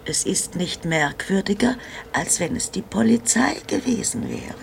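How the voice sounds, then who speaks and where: An actress playing a frail, tender old grandmother in a radio drama, forgetful and resigned, her voice gravelly and half-whispered. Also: mid-range voice, very animated, slight background noise, full character voice. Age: older. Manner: slow, musing.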